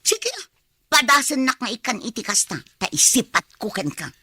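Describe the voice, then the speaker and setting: A woman's voice: a little high, big pitch swings, normal speed, bright, forceful tone, breathy edge, no echo, exaggerated voice. An actress playing an envious, demanding young girlfriend in a radio drama, with an Ilocano Filipino accent. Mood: demanding, pouting.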